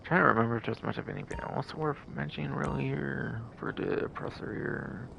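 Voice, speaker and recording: A man's voice: medium pitch, brisk, gravelly, pushed and bright, highs cut off, slight background noise. A man giving casual live game stream commentary in a rambling, repetitive way full of filler words, with some casual swearing.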